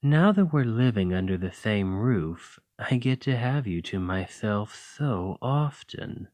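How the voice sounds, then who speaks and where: A man playing a slow, teasing dragon lover. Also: lowish voice, expressive, dry recording. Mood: tender. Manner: soft, playful and coaxing. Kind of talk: ASMR roleplay.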